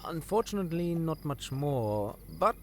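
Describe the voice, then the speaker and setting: A man's voice: on the high side, very animated, moderate pace, a little hiss or hum. A man playing a polite, earnest young scholar in a fantasy audio drama, speaking formally and a little hesitantly. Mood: earnest, informative.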